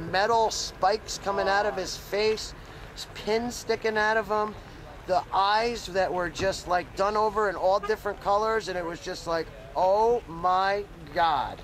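Laid-back man interviewing festival fans. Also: sits high. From upstate New York. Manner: easygoing, says "man" a lot. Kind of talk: casual on-the-spot interview. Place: outdoors at a crowded music festival. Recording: loud outdoor noise.

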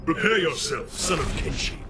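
A stern fighting game warrior speaks formally and solemnly. His voice sits a little high for a man, over clear music. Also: animated, normal speed.

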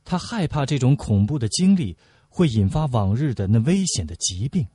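A man's voice: mid-range voice, sing-song, moderate pace, mellow, slightly rough, no echo. A man reading a novel aloud as scripted audiobook narration.

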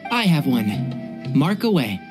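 A man's voice: a little high, very animated, slow pace, over music. A man playing a polite, level-headed young forest ranger in a video game, with a tactful manner. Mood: brisk, agreeable.

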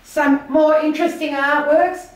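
High-voiced Australian woman in echoey rooms. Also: fairly even intonation, measured pace. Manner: chatty, enthusiastic. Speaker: auction house presenter. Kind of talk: casual walk-through tour of auction items. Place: small indoor rooms of an auction house.

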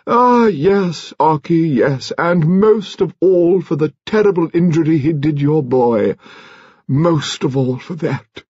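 A man voicing an imperious, frank, stout elderly English noblewoman, speaking in a grand, emphatic, deliberate way for an audiobook. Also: a little high, a little mellow, breathy edge, no echo, muffled recording, voice pushed up. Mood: anguished.

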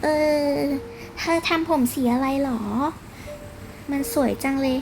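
A female speaker with a very high, childlike voice acts out a homemade schoolgirl drama. She takes her time, stretching words and exclamations, with clear music playing behind her. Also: steady tone, slow, low-quality recording. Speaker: storyteller voicing a homemade game skit. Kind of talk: improvised schoolgirl role-play drama. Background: loud music.

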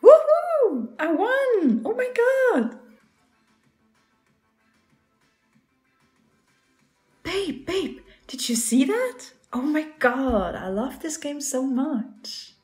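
A woman's voice: high voice, very animated, slow pace, few pauses, darkish tone, soft background music, full character voice. A woman playing a playful, game-obsessed young girlfriend in an ASMR roleplay, talking straight to the listener. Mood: thrilled. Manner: playful, pleading, talks to the listener as "babe".

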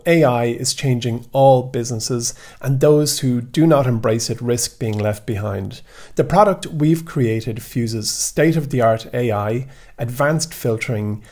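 A man's voice: mid-range voice, darkish tone. A man, the show's host, reading an upbeat scripted promotion. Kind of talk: scripted ad read. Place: indoor room.

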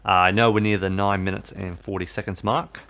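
A man's voice: low voice, fairly even intonation, fairly quick, fairly bright tone. A man talking viewers through resetting a TV box, rambling and full of fillers. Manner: rambling, filler-heavy, repeats "basically" and "obviously". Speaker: tech tutorial host. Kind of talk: casual how-to voice-over.

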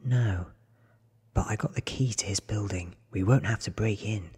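A man voicing a worried, earnest teenage boy in a soft, breathy hush for an ASMR storybook reading. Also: fairly low pitch, normal speed, long reverb tail. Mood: downcast. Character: worried teenage boy looking for his father.

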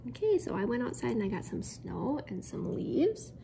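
A woman storyteller reading aloud to children in a playful, expressive manner. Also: a little high, moderate pace, vocal fry, slight background noise. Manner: playful, expressive, with gasps and interjections. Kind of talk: animated children's read-aloud.

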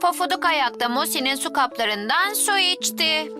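An eager, wide-eyed little boy in a children's cartoon. His voice is very high. Cartoon music plays clearly behind. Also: animated, normal speed. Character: curious little boy twin. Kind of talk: cartoon dub acting. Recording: studio dub with music bed.

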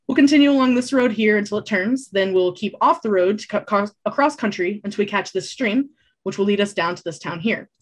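A woman voicing a practical, weary older man who lays out plans in a plain, matter-of-fact way, with a slightly husky tone. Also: mid-range voice, a little fast, natural voice.

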